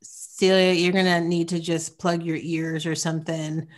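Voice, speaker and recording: A woman in her forties hosting a podcast interview, upbeat and encouraging, quick to praise her guests. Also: fairly low pitch, level tone. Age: 40s. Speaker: podcast host. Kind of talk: casual interview chat.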